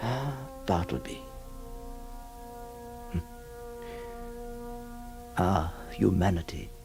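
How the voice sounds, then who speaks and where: A man playing a troubled, compassionate lawyer who narrates in solemn, halting, literary phrases for a radio drama. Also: sits low, lively intonation, vocal fry, music in the background, exaggerated voice. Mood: anguished, grieving. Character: troubled, compassionate male lawyer recounting a sad history.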